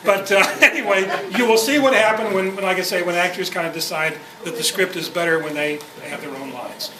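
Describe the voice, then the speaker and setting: A man's voice: sits high, brisk, crowd noise. A man presenting informally to a club meeting in a rambling, conversational way. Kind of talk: informal spoken introduction to a club. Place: indoor club meeting room. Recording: echoey room, far mic, clear noise.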